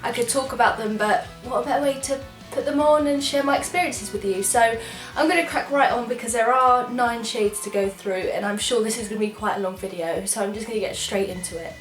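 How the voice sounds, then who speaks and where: A woman's voice: a little high, fairly quick, roomy sound, soft background music. A young English woman with a stuffy, congested voice from a cold, chatting enthusiastically as a beauty vlogger trying on products. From England. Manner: chatty, enthusiastic, apologetic asides. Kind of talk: casual product try-on chat.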